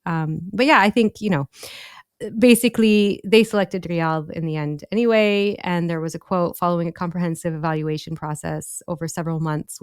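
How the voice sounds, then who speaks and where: A woman's voice: lowish voice, smooth, no echo, clean studio sound. A woman co-hosting a podcast, talking through news in a casual, hesitant way with many ums and restarts. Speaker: podcast co-host.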